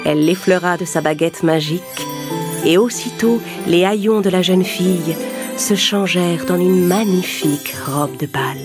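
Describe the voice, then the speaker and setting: A woman's voice: on the low side, moderate pace, music in the background. A woman narrator reading a scripted fairy tale for children.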